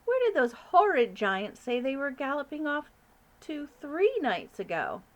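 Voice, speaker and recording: A brisk, commanding queen, put on by a woman reading a storybook, her voice lifted high above the reader's own. She gives orders crisply, with lively swings of pitch. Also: dull audio, full character voice. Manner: crisp, imperious.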